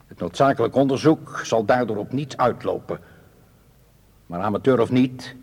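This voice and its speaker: An actor playing a cold, commanding leader of villainous plotters in a radio drama, measured and authoritative, with a husky voice. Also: male voice, medium pitch, darkish tone. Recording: long reverberant room echo.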